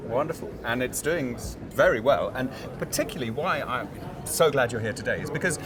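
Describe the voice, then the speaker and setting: A man's voice: medium pitch, animated, fairly quick. A man hosting a live panel interview at a busy trade fair, upbeat and chatty. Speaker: host. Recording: crowd chatter behind.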